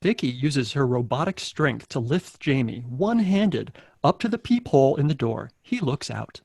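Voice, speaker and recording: A man with a creaky voice reading stage directions as narrator of a live table read. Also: mid-range voice, lively intonation, moderate pace, darkish tone, no echo, clean studio sound.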